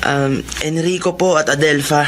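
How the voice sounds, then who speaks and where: Lalaking gumaganap na magalang na binata. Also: male voice, on the high side, steady tone, moderate pace, close to an everyday voice. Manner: respectful, soft-spoken. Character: polite young male college student. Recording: music behind.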